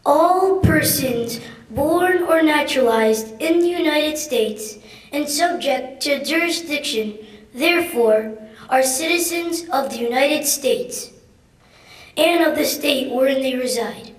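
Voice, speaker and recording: Slow, very high young female reader. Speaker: student reader. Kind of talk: formal reading aloud of a document. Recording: echoey hall, distant mic.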